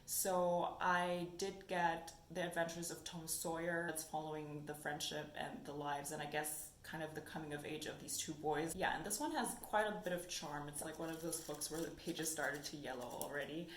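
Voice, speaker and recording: A woman's voice: sits low, fairly even intonation, normal speed, hardly any pauses. A young woman whose first language is German, chatting casually about books in a haul video and thinking aloud as she goes. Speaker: book vlogger. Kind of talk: casual book haul chat. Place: sunny room indoors. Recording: echoey room with faint noise.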